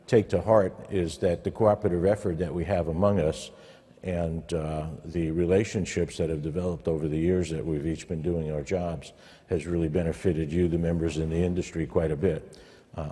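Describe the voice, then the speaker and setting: Man, conference host, dark mellow low voice, halting informal delivery, long room reverb. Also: husky. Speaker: host introducing a panel as association president. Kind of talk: informal spoken introduction at a conference. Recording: echoey hall with long reverb.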